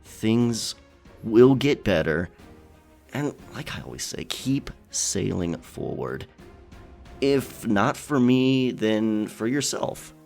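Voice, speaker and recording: A man plays a friendly male pirate captain, talking in a soft, unscripted ASMR style. His voice sits fairly low and moves with very lively intonation. He is encouraging and chatty, a little hesitant as he finds his words, over faint music. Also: slow pace, gravelly, exaggerated voice. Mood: warm, reassuring. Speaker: ASMR storyteller and streamer.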